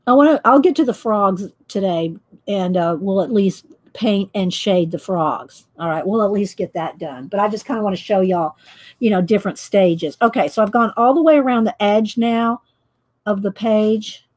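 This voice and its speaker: A woman, chatting loudly while she paints and tells stories on her coloring livestream, laughing often and answering viewers. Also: medium pitch, normal speed. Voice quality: loud voice. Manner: chatty storyteller, laughs often, reads live chat. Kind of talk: casual chat while painting. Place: home craft table, indoors.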